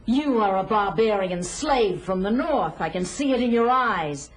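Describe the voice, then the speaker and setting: A woman's voice: medium pitch, animated, measured pace, natural voice, poor audio. An actress playing a seductive, menacing witch woman in an audio drama, with a theatrical, portentous delivery. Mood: sultry, mysterious. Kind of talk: 1980s audio drama acting.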